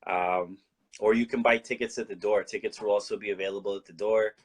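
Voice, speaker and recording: A man's voice: fairly low pitch, fairly even intonation, a little fast, slightly rough. A man from Colombia, a guest in a casual talk-show discussion, explaining his views earnestly and often restarting his sentences.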